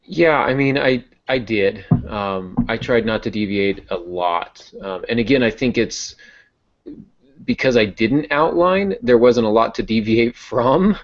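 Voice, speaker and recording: A man's voice: medium pitch, unhurried. A man speaking casually on a podcast as a novelist, explaining and thinking aloud. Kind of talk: casual podcast discussion of writing. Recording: dull, lo-fi podcast audio.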